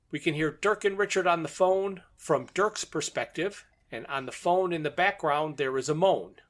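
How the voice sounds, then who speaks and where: A man's voice: fairly high pitch, a little slow, fairly bright tone. A man co-hosting a podcast, retelling a story step by step in conversation and often chuckling. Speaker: podcast host recapping a radio drama. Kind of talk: episode recap in conversation.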